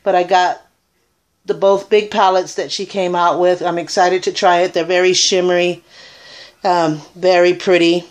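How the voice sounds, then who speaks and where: A woman's voice: fairly low pitch, level tone, moderate pace. Puerto rican woman in her 30s, rambly makeup tutorial, lots of ums, webcam in a small room. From Puerto Rico. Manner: chatty, rambling, filler-heavy. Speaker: beauty vlogger. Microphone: webcam at arm's length.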